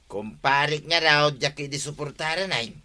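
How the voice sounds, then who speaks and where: A man's voice: on the high side, moderate pace, pushed and bright. A man with an Ilocano accent playing a boastful older husband eager to fight criminals, in broad, exclaiming radio comedy style. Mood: defensive.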